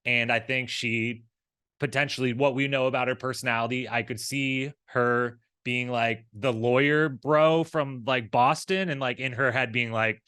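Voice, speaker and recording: A man's voice: mid-range voice, steady tone, normal speed, bright, forceful tone, smooth, no room sound. Casual male co-host, dry close sound. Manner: rambling, acts out imagined dialogue. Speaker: recap show co-host. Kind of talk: casual, conversational episode commentary.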